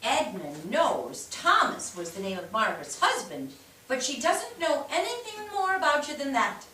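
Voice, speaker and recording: A woman's voice: high-pitched, sing-song, normal speed, sharp tone, slight background noise, heavily acted. A woman acting an earnest, declamatory narrator of family history in a staged play reading. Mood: earnest, explanatory. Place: theatre stage, recorded from afar. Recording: distant microphone in echoey theatre.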